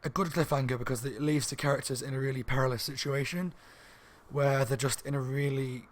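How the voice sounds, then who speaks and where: A slightly hoarse man reviewing a book in a rambling, casual chat at home, with lots of ums and restarts. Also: mid-range voice, flat delivery, brisk. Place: room at home by a window on a main street.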